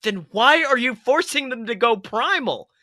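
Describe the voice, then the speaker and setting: A man's voice: very high-pitched, animated, moderate pace, sharp tone, dry recording, clean studio sound, voice pushed up, heavily acted. A man voicing a young male fox in a story, a brave, hot-headed wisecracker with an emphatic delivery. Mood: challenging. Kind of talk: storytelling character voice.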